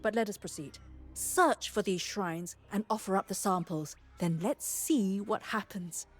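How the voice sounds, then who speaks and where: A woman's voice: medium pitch, measured pace, soft background music, close to an everyday voice. An actress playing a curious, analytical woman scholar in a fantasy video game, articulate and inquisitive. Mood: curious, earnest. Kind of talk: video game dialogue acting.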